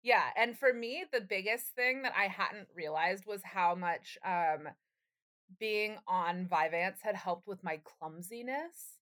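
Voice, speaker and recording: A woman's voice: medium pitch, slow, fairly bright tone. A woman co-hosting a podcast in casual, sweary chat, with a wry, self-deprecating and playfully dramatic manner. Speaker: podcast co-host.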